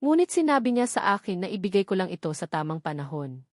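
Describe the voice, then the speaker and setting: Woman voicing a gentle, grave old father. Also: medium pitch, sing-song, quick pace, no room sound, studio quality. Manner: soft-spoken, heavy-hearted. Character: gentle, grave elderly wealthy father, Don Ricardo.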